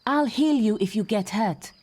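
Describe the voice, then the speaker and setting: A woman's voice: mid-range voice, animated, moderate pace, a little mellow, natural voice. An actress playing a cocky, competitive young female apprentice warrior with a brash, teasing manner in a fantasy video game. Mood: alert, urgent.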